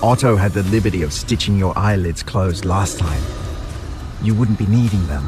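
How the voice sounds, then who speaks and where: An actor playing a sinister butcher villain in an audio drama, theatrical, mock-apologetic and taunting. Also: male voice, deep voice, moderate pace, lightly acted. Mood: mocking, gloating. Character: sinister, politely menacing butcher villain who feeds victims to monsters. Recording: music under the voice.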